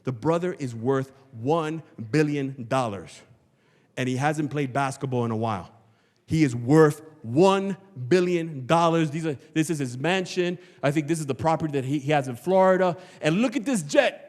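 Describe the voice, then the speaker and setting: A man's voice: on the high side, lively intonation, normal speed. Emphatic male preacher in a reverberant hall. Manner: emphatic, rhetorical, repeats phrases for effect. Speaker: pastor preaching. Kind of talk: animated church sermon. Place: large church hall, before a congregation. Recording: long hall reverb, church sanctuary PA.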